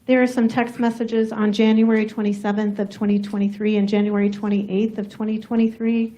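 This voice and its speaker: A soft-spoken woman prosecutor questioning a witness in a courtroom, measured and methodical. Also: medium pitch, flat delivery, rough recording. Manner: measured, formal, methodical questions with some fillers.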